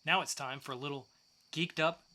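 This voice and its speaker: A man's voice: fairly high pitch, fairly even intonation, brisk. A man co-hosting a podcast, presenting scripted segments in an upbeat style. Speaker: podcast co-host.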